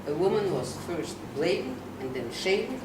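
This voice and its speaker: A woman's voice: on the low side, big pitch swings, a little slow. A woman from Switzerland, an interviewee in a roundtable discussion, speaking earnestly and emphatically as she argues her points. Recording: echoey room, distant mic, loud background noise.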